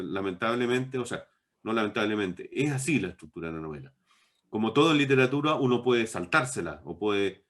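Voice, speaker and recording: A middle-aged man talks reflectively in an interview, in a discursive, halting way with repeated words. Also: on the low side, a bit bright. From born in Bolivia, moved to Chile as a child. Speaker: interviewed novelist.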